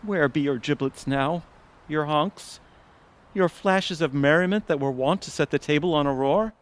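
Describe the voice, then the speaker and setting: A man's voice: fairly high pitch, moderate pace, lightly acted. A man playing a melodramatic, sentimental middle-aged family man in a comedic audio drama, with a theatrical, declamatory delivery. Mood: mock solemn.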